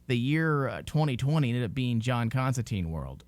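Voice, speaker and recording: A man's voice: medium pitch, very animated, moderate pace, darkish tone. A man hosting a podcast, talking informally and giving his opinions in a casual discussion. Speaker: podcast host.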